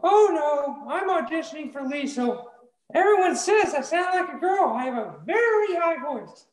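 A performer playing a nervous young man with a very high voice, jittery and anxious, on a close webcam in a room with a ceiling fan. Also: big pitch swings, moderate pace. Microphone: webcam close to face. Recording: roomy echo.

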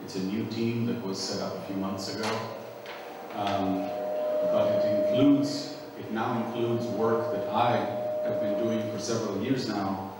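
Loud-voiced man in an echoey room. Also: on the low side, unhurried. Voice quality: fairly loud voice. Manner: relaxed, conversational, with frequent ums and uhs. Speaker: conference presenter. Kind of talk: conference talk to a small group. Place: small conference room with long tables. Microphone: far camera, speaking without a microphone. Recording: echoey small room from far off, noisy.

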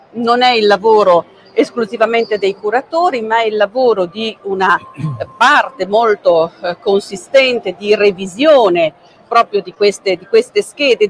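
Woman, middle-aged, curator, public book talk, measured, hesitant pauses, faint background hum. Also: medium pitch. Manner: measured, frequent "eh" pauses, gestures while talking. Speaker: book curator presenting a volume. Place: stand in a large indoor book fair hall.

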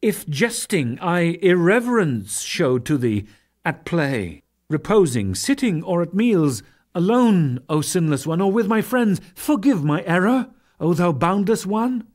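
A man voicing an awestruck, reverent warrior in a dramatic scripture reading, fervent and pleading, with declamatory pauses. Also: sits high, very animated, darkish tone, voice pushed up, heavily acted.

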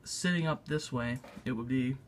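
A man narrating a casual hands-on tutorial in a halting, unscripted way, thinking aloud as he works. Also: medium pitch, slight background noise. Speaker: video maker demonstrating a repair. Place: indoors at a tabletop.

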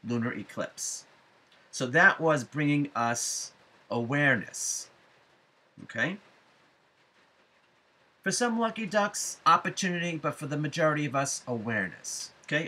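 A middle-aged man giving a spiritual forecast to viewers, speaking deliberately with emphatic phrasing and frequent pauses. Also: medium pitch, bright, forceful tone, slightly rough. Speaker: astrology forecaster and psychic medium. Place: small room at home, indoors.

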